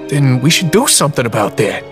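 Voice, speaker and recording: An actor playing a cocky, streetwise young drifter in an audio drama, wisecracking in folksy, colloquial speech. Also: male voice, medium pitch, very animated, a little fast, music in the background, lightly acted. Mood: impressed, curious.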